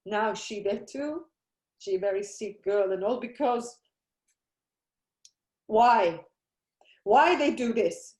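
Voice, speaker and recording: A woman's voice: medium pitch, animated, slow pace, long pauses, a little mellow. An actress playing a desperate Italian immigrant mother with an Italian accent, speaking broken English. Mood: furious grief. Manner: broken English, pleading then raging. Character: grieving immigrant mother, older woman, desperate and fierce. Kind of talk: broadcast drama acting. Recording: roomy echoey recording.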